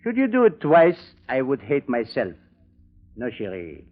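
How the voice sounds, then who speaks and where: Menacing French gangster, tinny old radio. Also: male voice, medium pitch, wildly swooping pitch, a little slow. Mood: cold menace. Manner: theatrical, formal, threatening. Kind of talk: 1940s radio detective drama acting. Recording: tinny, band-limited old radio recording.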